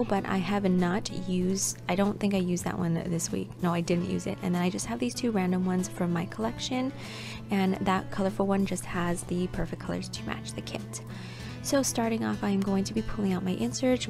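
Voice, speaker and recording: Young woman narrating her planner, a little raspy from a cold, chatty and scattered, music playing behind. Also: mid-range voice. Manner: chatty, scatterbrained with self-corrections. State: slightly raspy, getting sick. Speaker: planner vlogger. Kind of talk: casual voiceover narration. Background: background music.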